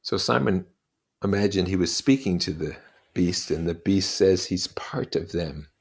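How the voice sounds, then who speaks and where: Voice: deep voice, unhurried, husky. A narrator reading a novel aloud expressively and adding explanatory comments.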